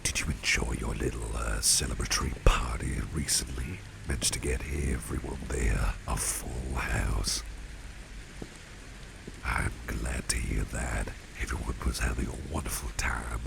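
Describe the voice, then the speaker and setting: Guy doing kindly posh-mannered boss for asmr, super low croaky half-whisper, steady ambient noise behind him. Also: heavily acted. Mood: caring, encouraging. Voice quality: very deep, gravelly, breathy half-whisper. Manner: genteel, unhurried, repeats phrases for emphasis. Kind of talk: ASMR roleplay, soft-spoken personal attention.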